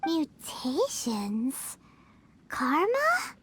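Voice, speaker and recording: A woman voicing a tiny, excitable, childlike fairy companion in a video game, earnest and exclamatory. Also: sits high, very slow, exaggerated voice. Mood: surprised, curious. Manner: refers to self in third person, exclamatory. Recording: loud game music behind.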